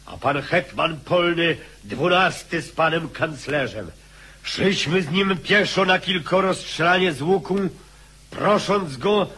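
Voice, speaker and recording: Earnest eyewitness soldier, radio drama, higher male voice, husky edge, measured. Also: pushed and bright, heavily acted. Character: loyal soldier, earnest eyewitness recounting a last stand.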